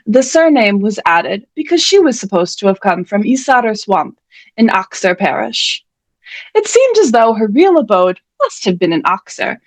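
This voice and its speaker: Woman as ghostly female author, high voice, measured theatrical storybook reading. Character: ghost of a dead female author, solemnly reading her tale. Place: indoors, on a video call.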